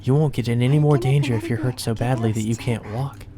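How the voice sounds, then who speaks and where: A man voicing a worried, quietly obsessive young girl in love, in a visual novel, softly and calmly. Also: mid-range voice, fast, a crowd in the background, natural voice. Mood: calm resolve.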